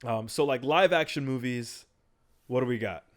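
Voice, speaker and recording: A man co-hosting a podcast in a casual chat, animated as he steers the discussion. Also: mid-range voice, moderate pace. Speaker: podcast co-host.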